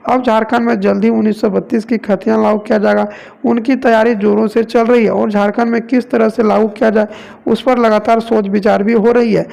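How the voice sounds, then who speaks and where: A man's voice: sits high, little pitch movement, brisk, gravelly, a little mellow. A man from India narrating news reports as a presenter, leaning on repeated stock phrases.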